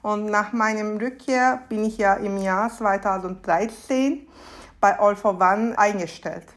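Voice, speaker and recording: A woman's voice: mid-range voice, measured pace. A woman in her thirties from Turkey with a Turkish accent, answering conversationally as a podcast guest and often giggling between phrases. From Istanbul, Turkey. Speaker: podcast guest, SAP consultant.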